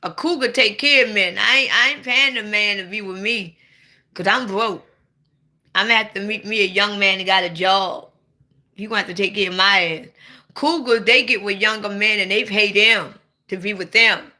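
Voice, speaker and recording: Bright-voiced playful woman in her forties. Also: medium pitch, normal speed. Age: 40s. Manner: blunt, playful, swings to sudden loud outbursts. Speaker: livestream host. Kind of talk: casual livestream chat.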